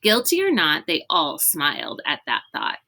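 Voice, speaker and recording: A woman reads a children's novel aloud in an animated, expressive storytelling style with clear emphasis. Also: deep voice, normal speed. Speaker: read-aloud reader.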